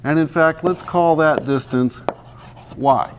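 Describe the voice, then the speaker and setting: Older man, physics lecturer, slightly high voice, playful rhetorical questions, lapel mic in a lecture hall. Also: takes it slowly, slight background noise. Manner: jokey, asks the class rhetorical questions; walks and points at the chalkboard while talking. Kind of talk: university lecture.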